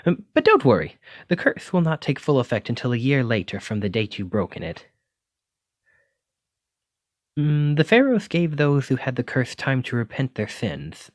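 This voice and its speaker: Man acting a fussy, kindly wizard, animated pitch. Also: moderate pace, no echo, studio quality, natural voice. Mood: apologetic, worried. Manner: fussy, apologetic, gently courteous, stammering when flustered. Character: clumsy, kindly wizard bookseller teaching magic. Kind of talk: ASMR roleplay addressed to listener.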